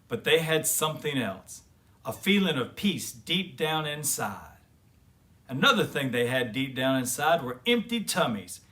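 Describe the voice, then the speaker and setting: Guy reading a kids storybook out loud, takes it slow, kinda high voice, expressive. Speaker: children's story reader and host.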